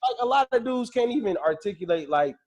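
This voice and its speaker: A man guesting on a casual podcast chat, excitable and repeating himself as he talks. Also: sits high, quick pace, highs cut off.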